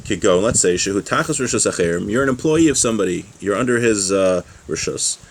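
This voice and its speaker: Male religious teacher, lowish voice, informal and explanatory, moves between reading aloud and plain commentary. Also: expressive, quick pace, gravelly. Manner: explanatory, with rhetorical check-ins like "right?". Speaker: religious teacher giving a class.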